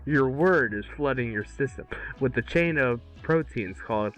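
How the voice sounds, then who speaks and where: A man's voice: mid-range voice, animated, moderate pace, faint music behind, natural voice, rough recording. A man reading the part of a cocky, glib billionaire playboy in an amateur table read, flippant and rambling, sometimes stumbling over big words. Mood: smug, seductive.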